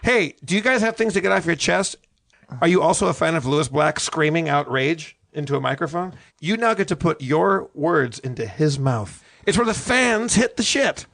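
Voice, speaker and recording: Higher-voiced male co-host, closely recorded. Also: expressive, normal speed, gravelly, a bit bright, no echo. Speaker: podcast co-host. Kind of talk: comedic banter, improvised ad read.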